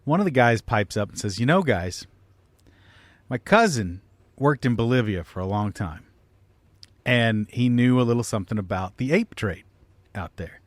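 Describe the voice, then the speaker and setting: A man's voice: medium pitch, expressive, moderate pace, pauses often, no echo. A man casually telling a long comic story, swearing freely and acting out bits of dialogue. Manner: profane, acts out quoted dialogue. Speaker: comedy podcast storyteller.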